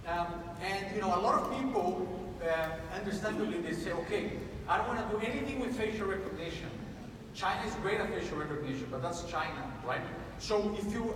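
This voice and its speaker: Man giving a keynote in an echoey hall. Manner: conversational, energetic pitch with frequent restarts. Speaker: conference keynote speaker. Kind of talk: conference keynote talk. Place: large conference room. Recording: echoey hall from far off, noisy.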